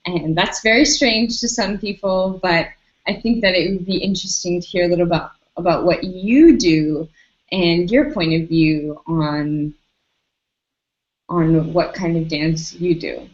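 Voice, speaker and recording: A young American woman hosting a video call interview from a bedroom, asking questions quickly and repeating herself as she goes. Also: fairly low pitch. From United States. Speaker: host. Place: bedroom indoors. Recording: dull lo-fi video call audio.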